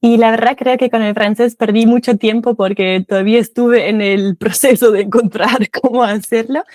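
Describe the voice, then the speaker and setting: A woman's voice: fairly high pitch, steady tone, normal speed, a little mellow, no room sound, studio quality. A German woman speaking with a German accent, a guest chatting casually in an interview, laughing often and correcting herself as she goes.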